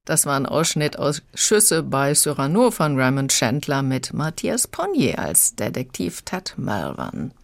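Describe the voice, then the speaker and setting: A woman's voice: rumbling low, very animated, measured pace, vocal fry, few pauses, no room sound. A woman reviewer presenting audio dramas in a conversational radio style from a studio. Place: dry studio.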